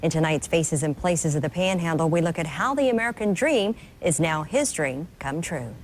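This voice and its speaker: A woman news reporter delivering scripted narration in a smooth, measured way. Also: sits low, animated, slight background noise.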